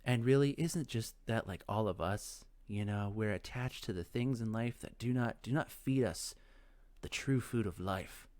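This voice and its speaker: A man's voice: lowish voice, normal speed, darkish tone. A man giving casual video game commentary, rambling and musing aloud as he thinks things through. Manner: rambling, musing, talks to himself. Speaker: let's play host.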